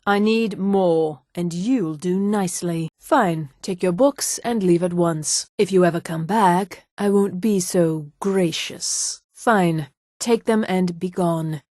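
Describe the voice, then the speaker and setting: A woman's voice: on the low side, darkish tone, dry recording. Haughty elf woman, dry studio sound. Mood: cold, menacing. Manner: formal, measured, imperious diction. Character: haughty female high elf mage and guard. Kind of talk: video game character dialogue.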